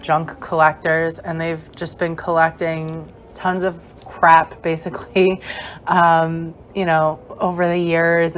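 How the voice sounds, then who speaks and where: A young woman with a hoarse, fading voice, giving a chatty, rambling gameplay tour voice-over with many fillers. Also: on the low side, slight background noise. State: hoarse, losing her voice. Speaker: gaming creator.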